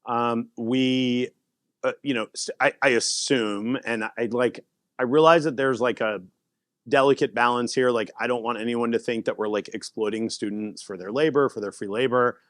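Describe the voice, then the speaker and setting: A man giving a casual conference talk and answering audience questions, animated and laughing often. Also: medium pitch, normal speed, dry recording, studio quality. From United States. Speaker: presenter.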